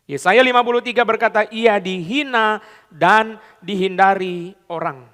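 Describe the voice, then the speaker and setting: A man delivers a sermon in a high, pitched-up voice. He swings from loud, emphatic lines to quiet, confiding asides. His speech is full of English phrases and street slang, and it echoes with the long tail of a large church hall. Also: unhurried. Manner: repeats "saudara" constantly, mixes in English phrases and colloquial Jakarta slang. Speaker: pastor.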